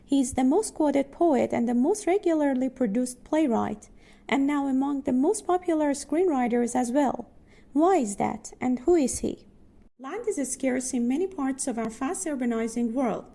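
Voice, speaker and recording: A woman's voice: high voice, animated, moderate pace, vocal fry, warm tone. A woman reading short educational passages aloud as scripted narration. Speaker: pronunciation practice narrator.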